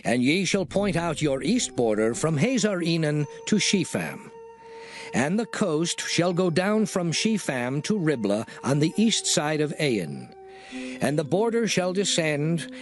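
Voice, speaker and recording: An actor voicing the Lord's laws in a dramatized scripture reading, measured and declaiming. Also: male voice, on the high side, big pitch swings. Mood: solemn. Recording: studio voice over music bed.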